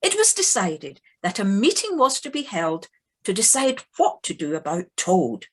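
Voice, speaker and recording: A woman acting as the narrator of a storybook animal tale in an audio play, with measured, expressive delivery. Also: mid-range voice. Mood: lively storytelling. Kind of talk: scripted narration.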